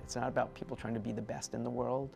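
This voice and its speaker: A man's voice: medium pitch, little pitch movement, quick pace, creaky. A middle-aged man, an educator, speaking earnestly in a promotional interview. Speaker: college educator or administrator. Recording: loud music bed behind voice. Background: music.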